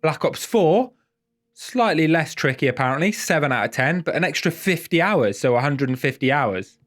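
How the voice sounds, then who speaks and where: A man's voice: on the high side, animated, moderate pace, fairly bright tone. A man with a head cold and a nasal voice, chatting casually and self-deprecatingly as host of a gaming video.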